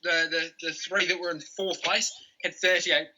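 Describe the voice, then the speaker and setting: A man's voice: high-pitched, steady tone, normal speed, sharp tone, a little hiss or hum. A man hosting a quiz and reading out results, hesitant and often correcting himself. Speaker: quiz host. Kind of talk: announcing quiz results on a livestream.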